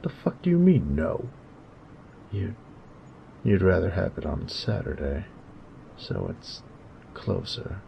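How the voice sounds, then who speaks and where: Man playing a gravelly, very deep-voiced cocky bully. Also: wildly swooping pitch, slow pace, pauses often, mellow, slight background noise, heavily acted. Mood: startled indignation, then flustered, fond. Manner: swearing, teasing, smug slangy drawling delivery. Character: cocky teasing young male bully, secretly smitten. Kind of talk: intimate romantic audio roleplay.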